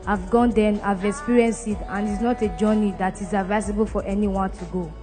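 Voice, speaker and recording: A woman's voice: mid-range voice, fairly even intonation, brisk, over music. Earnest Nigerian woman giving testimony. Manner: plain, earnest, with restarts.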